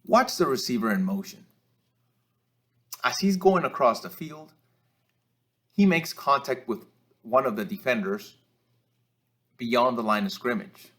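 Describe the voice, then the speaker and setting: A man delivers instructional commentary over game footage. His mid-pitched voice is measured and explanatory, with small hesitations as he works through each point like a coach teaching officials. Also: expressive, fairly bright tone. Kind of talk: instructional film-review commentary.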